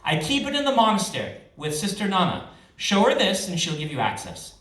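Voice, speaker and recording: A man's voice: sits high, wildly swooping pitch, fairly quick, fairly bright tone, exaggerated voice. A man voicing a composed, commanding noblewoman with controlled irritation in a fantasy novel reading. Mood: brisk, curt. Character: composed, curt noblewoman, a queen and mother-in-law. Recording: roomy live reading, far from mic.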